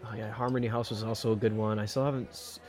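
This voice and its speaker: Halting man in casual podcast chat. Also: lowish voice, level tone, a little mellow. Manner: halting, rambling phrasing. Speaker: podcast co-host. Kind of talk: casual chat, asking questions.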